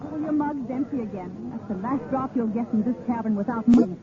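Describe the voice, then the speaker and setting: An actress in a 1930s radio drama playing a jealous, demanding young tavern beauty of medieval Paris. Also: female voice, fairly high pitch, fairly quick, over a noisy crowd, close to an everyday voice. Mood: sulky, scolding. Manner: demanding, scolding. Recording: noisy, tinny 1930s radio broadcast recording.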